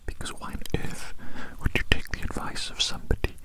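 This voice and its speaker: An ASMR roleplay host speaking in a breathy, unvoiced whisper very close to the microphone, lingering over words and repeating phrases soothingly. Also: brisk.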